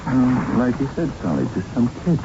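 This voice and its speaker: An actor in a radio drama playing an elderly, gentle, wistful man of the boxing world, speaking in a halting, hesitant way. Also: mid-range voice, big pitch swings, over music. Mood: worried, soothing. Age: older. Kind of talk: 1970s radio drama acting and narration. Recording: muffled, noisy old radio broadcast recording.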